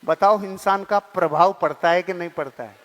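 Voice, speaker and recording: A man's voice: sits high, normal speed. A man giving an emphatic motivational seminar speech, repeating key phrases and putting rhetorical questions in colloquial speech sprinkled with English words. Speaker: motivational speaker and network marketing leadership trainer. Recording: long hall reverb.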